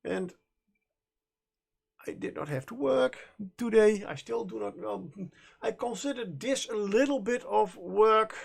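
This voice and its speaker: Dutch accent, native Dutch speaker, heavy vocal fry, slow pace, hesitant and rambling, self-correcting, casual livestream talk. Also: medium pitch. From Netherlands. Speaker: livestream host and miniature painter. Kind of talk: casual livestream chat while painting.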